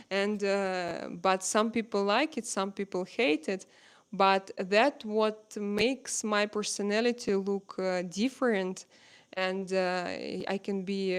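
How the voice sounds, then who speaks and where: Halting woman with a Russian accent. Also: medium pitch, smooth. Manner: halting, searching for words with many pauses. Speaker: live-stream host. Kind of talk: casual live-stream chat. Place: at home.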